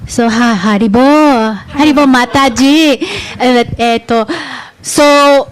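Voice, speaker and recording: A woman's voice: fairly high pitch, slow pace. A woman, from Japan, playing an earnest helper who talks hesitantly with many ums in a stage skit. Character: earnest Japanese-raised woman devotee helping out.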